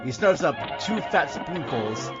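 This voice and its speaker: A man's voice: fairly high pitch, wildly swooping pitch, unhurried, muffled recording, over loud music. A man hosting and narrating an audio drama from a script in a dramatic style. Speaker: podcast host and narrator.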